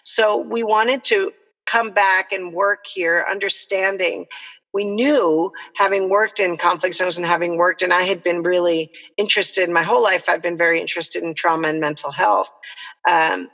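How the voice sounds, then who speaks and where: A middle-aged woman from El Salvador talks conversationally as an interview guest, explaining things earnestly and reflectively. Her voice is bright and forward with noticeable creak. The recording is reverberant and a little dull, like an online call. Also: on the low side, steady tone, moderate pace. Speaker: nonprofit co-founder, podcast guest.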